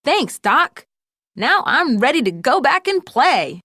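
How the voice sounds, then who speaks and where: A woman's voice: high voice, big pitch swings, slow, sharp tone, no room sound, studio quality, voice pushed up, heavily acted. A woman voicing a nervous male toy bouncy ball in a storybook, boyish and hesitant, full of bravado. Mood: relieved. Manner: hesitant, protesting, putting on bravado.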